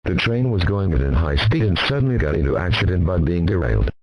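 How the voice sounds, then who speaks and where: A man's voice: low-pitched, big pitch swings, fast, breathy edge, dry recording, full character voice, rough recording. A man voicing an impersonal emergency alert announcer, reading a formal scripted warning. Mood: impersonal, urgent warning.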